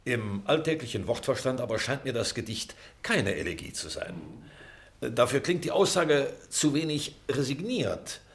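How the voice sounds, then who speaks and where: A middle-aged former pupil in a literary drama. His medium-pitched voice moves up and down freely, hesitant yet bookish, precise and formal. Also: big pitch swings, moderate pace. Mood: hesitant, earnest. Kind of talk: German literary drama acting.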